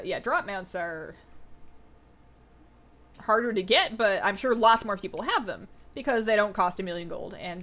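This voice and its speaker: A woman's voice: medium pitch, a bit bright. A woman streamer chatting casually with viewers while she plays, her voice a little worn from two hours of talking. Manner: chatty, reads viewer questions aloud, frequent "like" and "um". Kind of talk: casual live-stream chat while gaming.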